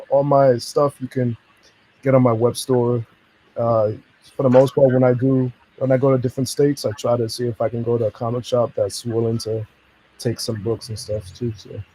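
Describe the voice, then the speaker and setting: A man speaking as a podcast guest, his voice mellow and soft-edged. He chats in a friendly, personable way and laughs easily while talking about his work. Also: mid-range voice, poor audio. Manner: friendly, easygoing, laughs often. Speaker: podcast guest, comic creator. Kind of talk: casual podcast chat.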